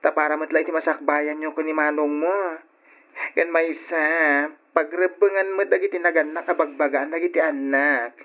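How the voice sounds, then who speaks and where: A caring young friend coaxes and chides in a chatty, exclamatory way. The old radio drama recording is dull and lo-fi. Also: female voice, deep voice, steady tone, normal speed, fairly bright tone. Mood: reasoning, chiding. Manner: exclamatory, coaxing.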